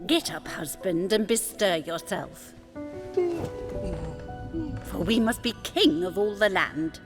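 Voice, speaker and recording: A woman's voice: mid-range voice, slow pace, over music, lightly acted. A woman playing a haughty, ambitious fisherman's wife in a fairy tale audio drama, imperious and insistent. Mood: demanding. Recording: long hall reverb.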